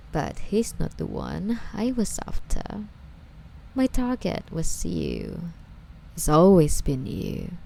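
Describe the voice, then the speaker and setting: A woman playing an obsessive, sweetly menacing female stalker in an ASMR roleplay, coy and breathy, often slipping toward a whisper as she coddles her captive. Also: lowish voice, mellow, a little hiss or hum, full character voice, low-quality recording. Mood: smug confession.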